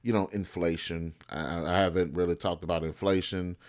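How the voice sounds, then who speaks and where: A man's voice: deep voice, fairly even intonation, moderate pace. A man hosting a film review show, giving casual, opinionated commentary in an informal, slangy, blunt way.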